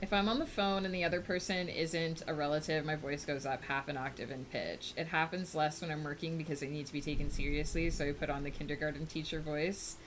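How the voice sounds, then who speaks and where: A woman's voice: steady tone, a little fast, few pauses, a little hiss or hum, low-quality recording. Chatty woman streamer talking to chat. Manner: rambling and thoughtful, with frequent fillers; bright, high lift when greeting chat viewers. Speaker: livestreamer. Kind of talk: casual chat with a live audience.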